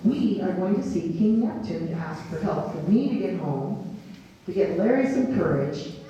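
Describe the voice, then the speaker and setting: A woman's voice: fairly low pitch, lively intonation, moderate pace, warm tone, highs cut off, lightly acted. A woman voicing a kind, practical female traveler in a storybook, with a polite, encouraging manner. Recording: roomy, distant, faint noise.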